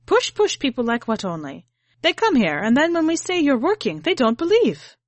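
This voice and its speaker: A woman's voice: sits high, huge pitch swings, moderate pace, no echo, pitched above the performer's own voice. A woman voicing a blunt, brash old grandmother in a storybook, complaining in colloquial English. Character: loud, brash, indignant old grandmother who loves gambling.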